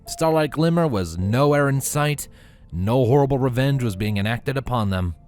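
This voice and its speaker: A man's voice: medium pitch, lively intonation, moderate pace, a little mellow, soft background music. A man narrating a scripted story as a reader. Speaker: fan fiction reader.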